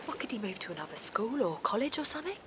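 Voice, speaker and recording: Anxious, loyal friend, faint ambience. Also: female voice, a little high, sing-song, rapid-fire, background noise. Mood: quiet concern. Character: Linda, a supportive, anxious woman and loyal friend. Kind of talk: naturalistic audio drama acting, with dialogue and narration.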